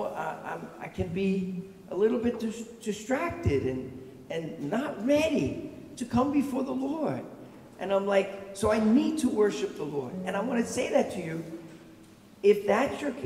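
A middle-aged male pastor with a raspy voice, giving a conversational sermon from a pulpit mic and asking the congregation questions. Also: a little high, dark tone, distant mic, slight background noise. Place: large church, at a pulpit. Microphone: stand mic at the pulpit. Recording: echoey church heard from afar.

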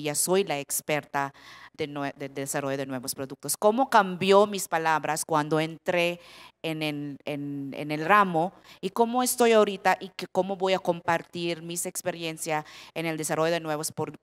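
A woman's voice: on the low side, smooth. Filipino woman speaking hesitant Spanish. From Philippines. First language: not Spanish. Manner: halting, searching for words, frequent "¿no?". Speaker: panelist, product development director. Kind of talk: panel talk.

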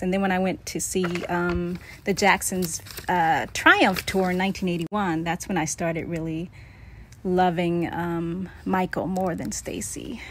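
A middle-aged woman casually reminiscing as she narrates, like a vlogger going through keepsakes. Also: on the low side.

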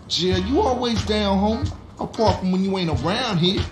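An actor playing a brash, taunting young gangster who talks in street slang. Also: male voice, high-pitched, moderate pace, echoey room, over music, natural voice. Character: pushy, mocking young gangster man.

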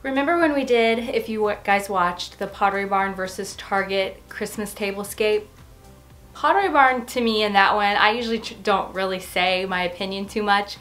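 A woman's voice: medium pitch, moderate pace, soft background music. A middle-aged woman giving a casual, gushing, chatty review to camera in a bedroom. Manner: gushing, expressive. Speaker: home decor vlogger. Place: furnished bedroom indoors.

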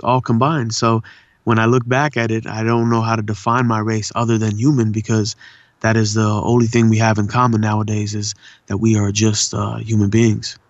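A man's voice: on the low side, no room sound. Laid back socal guy with urban swag, talks earnest, says man a lot, kinda muffled dry audio. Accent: Southern California urban. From India. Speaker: guest voice actor and poet. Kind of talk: casual interview chat.

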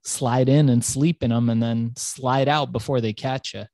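A man's voice: medium pitch, moderate pace, no room sound. Guy from iran, farsi native, swaggery cursing storyteller, dry close sound. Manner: swaggering, profane, animated storyteller. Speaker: entrepreneur guest. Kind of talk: storytelling interview.

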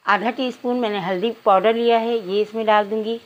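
A woman's voice: fairly high pitch, steady tone, fairly quick, lo-fi sound. A woman narrating cooking instructions step by step, addressing viewers directly as a home cook. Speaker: home cook presenting a recipe.

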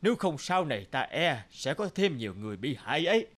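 A man playing a stern but fair royal judge in a cartoon folktale, speaking with deliberate authority. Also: a little high, very animated, fairly bright tone. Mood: decisive. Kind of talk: animated folktale acting.

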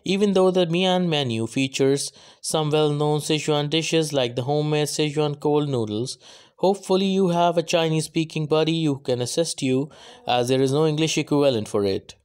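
Man reading a steady countdown voice-over. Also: on the high side, normal speed, clear, resonant tone. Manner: steady list-reading delivery, announcing each entry by number. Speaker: narrator of a restaurant countdown. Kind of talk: scripted voice-over narration.

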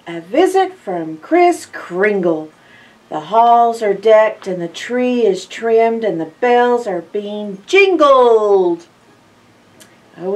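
Older female storytime reader, unhurried and expressive, slightly high voice, addressing little kids. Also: distant mic. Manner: expressive, drawn-out phrases with hushed asides and questions to listeners. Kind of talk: animated picture-book read-aloud to young children. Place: indoor library in front of bookshelves.

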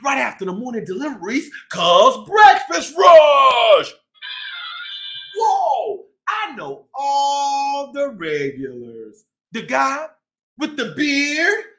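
A man's voice: extremely high pitch, wildly swooping pitch, slow pace, fairly bright tone, soft background music, full character voice. Man voicing a boastful, swooping storybook cat boss. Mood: busy, proud. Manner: boastful, exclamatory storybook narration. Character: proud, busy bodega cat boss, chatty and friendly.

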